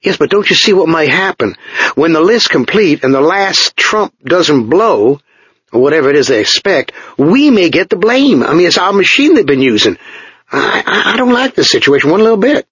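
A man's voice: a little high, sing-song, moderate pace, a bit bright, slightly rough, no echo, voice pushed up, exaggerated voice. A man voicing a nervous, urgent American technician who talks in an anxious, pressing way in a storybook reading. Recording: dull lo-fi dry recording.

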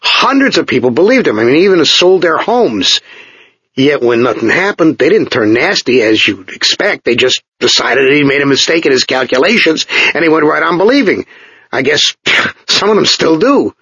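A man's voice: a little high, very animated, normal speed, pushed and bright, slightly rough, no echo, voice pushed up, full character voice. A man voicing a weary, morose engineer who grumbles in a dry, unimpressed way in a storybook reading. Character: weary, morose male engineer far from home. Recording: dull lo-fi dry recording.

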